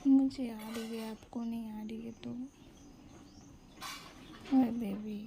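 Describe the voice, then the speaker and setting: A young woman with a soft, breathy voice, often near a whisper, talking in a cutesy, coaxing, affectionate way as a girlfriend on an intimate romantic phone chat. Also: on the high side, steady tone, takes it slowly, noisy background. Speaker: girlfriend in a one-sided phone-call roleplay.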